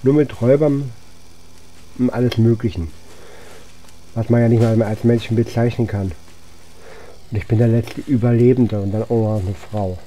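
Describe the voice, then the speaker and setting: A man's voice: mid-range voice, fairly even intonation, moderate pace, pauses often, mellow, a little hiss or hum, low-quality recording. A man giving casual let's play commentary as host, musing aloud to himself as he goes. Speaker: gaming channel host.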